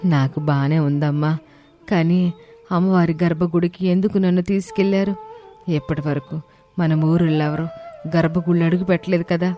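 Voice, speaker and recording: Woman voicing a low-pitched kindly old village granny. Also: fairly even intonation, moderate pace, dark tone, close to an everyday voice. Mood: puzzled, gentle. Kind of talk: animated moral story dialogue. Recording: music behind the voice.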